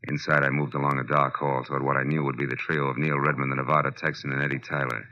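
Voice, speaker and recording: Hard-boiled American detective, low forceful voice, clipped wry delivery, muffled vintage radio drama recording. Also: male voice, flat delivery, fast, heavily acted. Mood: wry, brooding. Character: tough wisecracking male private detective. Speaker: lead actor and narrator. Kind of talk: 1940s radio detective acting, hard-boiled first-person narration and dialogue.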